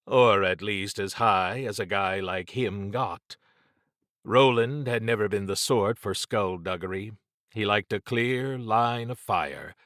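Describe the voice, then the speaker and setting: Low-voiced male audiobook narrator. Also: a bit bright, no room sound, clean studio sound. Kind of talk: dramatic scripted fiction narration.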